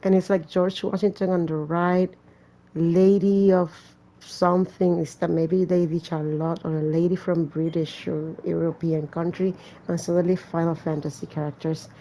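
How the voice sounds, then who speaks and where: A woman's voice: fairly low pitch, flat delivery, moderate pace, dark tone, poor audio. A woman doing casual gameplay commentary, reading a story aloud with chatty, joking asides. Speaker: gamer playing and reading aloud a horror visual novel.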